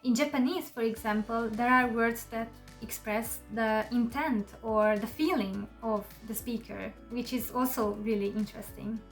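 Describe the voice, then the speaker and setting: Hungarian-native woman, slightly high pitch, easy chatty vlog delivery, faint music bed. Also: moderate pace, hardly any pauses. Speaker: channel host. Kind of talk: casual talk to camera.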